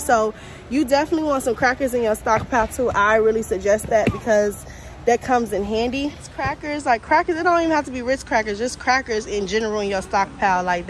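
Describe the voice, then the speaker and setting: A young American woman chatting informally and directly to viewers, as in a casual shopping vlog. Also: fairly high pitch. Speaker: vlogger. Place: inside a large warehouse store. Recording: faint store ambience noise.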